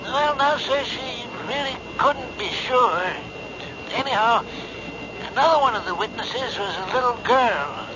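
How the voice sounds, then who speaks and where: An actor playing a polite middle-aged man on a phone call in a 1970s radio drama, halting and deferential as he rambles. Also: extremely high pitch, lively intonation. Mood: earnest, hesitant. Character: polite, hesitant middle-aged male caller remembering. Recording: dull noisy radio recording, phone-call scene.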